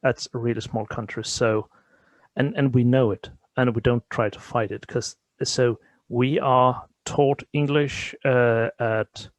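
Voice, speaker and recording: A man's voice: medium pitch, fairly even intonation, measured pace, dry recording. A middle-aged man from Denmark with a Danish accent, a native Danish speaker talking English in a casual interview, often repeating words as he searches for phrasing. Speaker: interview guest. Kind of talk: casual conversation.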